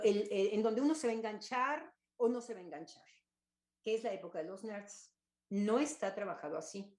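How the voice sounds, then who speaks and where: A woman's voice: medium pitch, darkish tone, echoey room. An older woman with a Mexican accent speaking as an academic guest on a discussion panel, rambling and searching for words with many restarts.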